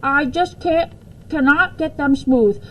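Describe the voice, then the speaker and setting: A woman's voice: sits high, slow, a little hiss or hum, voice pushed up. A woman voicing a grumbling young schoolgirl in a storybook, with whiny, exaggerated complaining. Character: young schoolgirl grumbling about her chores.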